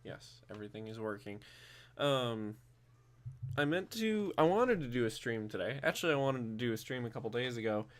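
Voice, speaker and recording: A man's voice: mid-range voice, expressive, normal speed. A man streaming a driving game, chatting casually after just waking up, slightly nasal and drowsy, rambling with many fillers and restarts.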